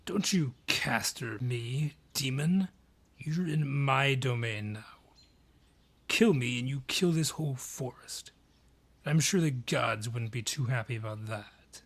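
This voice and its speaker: A man playing a haughty, sly dryad who rules a forest, taunting and condescending in a breathy, husky voice, in a fantasy audio roleplay. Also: medium pitch, takes it slowly, a bit bright, heavily acted. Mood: taunting, defiant. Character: haughty, sly male dryad ruling a forest, menacing and mocking.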